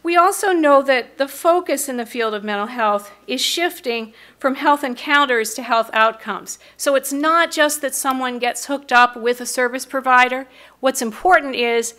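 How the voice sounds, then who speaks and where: Bright-voiced middle-aged American woman presenting. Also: high voice, moderate pace. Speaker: mental health board member presenting to city council. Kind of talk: prepared public presentation. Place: large council meeting room, indoors. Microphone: podium microphone.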